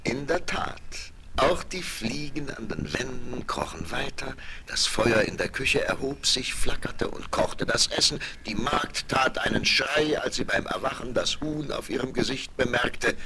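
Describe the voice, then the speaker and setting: A man's voice: medium pitch, lively intonation, a little slow, creaky, background noise. A man narrating scripted fairy tales for children in a dramatic storytelling style.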